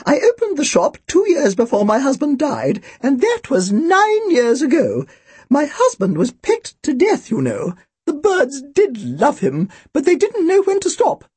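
An eccentric old storybook shopkeeper, a woman acted out by a man. Her voice is frail, thin and trilling, pitched far up high. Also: huge pitch swings, unhurried, darkish tone, no room sound, exaggerated voice. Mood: sweetly batty. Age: elderly. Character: frail, eccentric elderly woman pet-shop owner.

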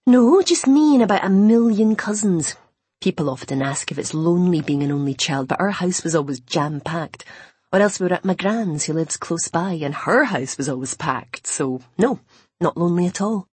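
Woman acting a chatty, excitable, husky young woman. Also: fairly low pitch, normal speed, no echo.

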